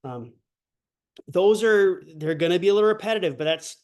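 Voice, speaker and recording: High-voiced man teaching over a video call. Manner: relaxed, halting, many fillers. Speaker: language instructor. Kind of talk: online class instruction.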